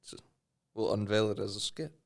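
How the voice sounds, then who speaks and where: A man's voice: lowish voice, moderate pace, vocal fry. A man co-hosting a comedy podcast, joking casually, riffing on bits and laughing often. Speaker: podcast co-host.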